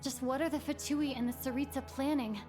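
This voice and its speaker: Young girl game adventurer, performed by a woman: high voice, earnest, anxious, clear music underneath. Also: fairly even intonation, fairly quick, natural voice. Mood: puzzled. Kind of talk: anime game voice acting.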